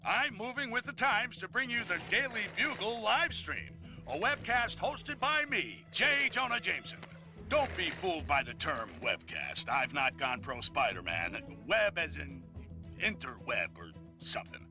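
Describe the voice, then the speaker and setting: A man's voice: high voice, sing-song, a little slow, over music, heavily acted. A man voicing a cranky, blustering newspaper boss who rants self-importantly as a webcast host in a video game. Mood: brash, self-important. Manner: brash, ranting, self-important. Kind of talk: comic news rant in video game.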